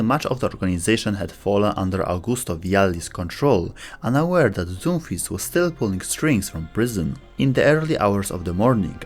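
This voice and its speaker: Lowish, animated male narrator. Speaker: lore video narrator. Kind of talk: scripted documentary-style narration.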